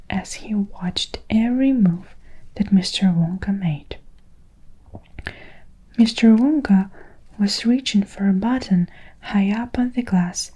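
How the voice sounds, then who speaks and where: A woman reading a children's storybook aloud in a steady, hushed whisper. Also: medium pitch, husky.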